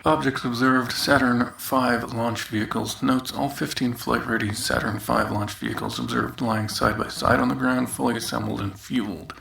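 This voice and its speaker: A man's voice: medium pitch, steady tone, normal speed, gravelly, few pauses. Actor as a dry, grumbling male researcher. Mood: dry, official. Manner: dry official reading with irritable asides. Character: grumbling, demoted male researcher on audio log duty. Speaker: narrator reading an audio log. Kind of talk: scripted in-character document reading. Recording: noisy outdoors, later echoey bathroom.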